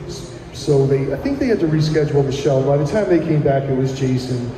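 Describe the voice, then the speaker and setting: Mellow American man in an echoey hall. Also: mid-range voice, expressive, fairly quick, a little hiss or hum. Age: middle-aged. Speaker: guest musician. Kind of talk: casual chat, telling anecdotes. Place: live radio broadcast at a public plaza. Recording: echoey hall with music behind.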